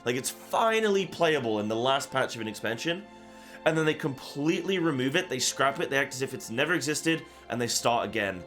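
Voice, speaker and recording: A man's voice: mid-range voice, lively intonation, brisk, a bit bright. A man giving casual, off-the-cuff gaming commentary as an online host, rambling and often repeating himself. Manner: rambling and repetitive, talking off the cuff. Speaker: gaming content creator and host. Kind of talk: casual reaction commentary on a game announcement. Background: background music.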